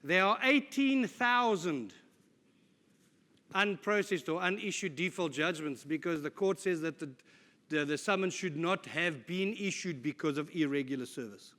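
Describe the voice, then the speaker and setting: A man's voice: fairly high pitch, expressive, moderate pace, bright, forceful tone. A middle-aged man giving a conference talk, informal and jokey with self-deprecating asides. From South Africa. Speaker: conference speaker. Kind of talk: conference presentation. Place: conference hall. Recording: live talk in a reverberant hall.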